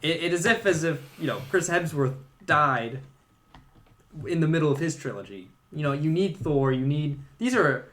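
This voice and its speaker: Bright-voiced man co-hosting a podcast. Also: a little high, lively intonation, normal speed. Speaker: podcast co-host. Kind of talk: casual film discussion.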